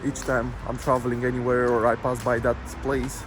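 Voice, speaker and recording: A man speaking in a reflective, unhurried way. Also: mid-range voice, little pitch movement, background noise. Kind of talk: casual spoken commentary. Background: music.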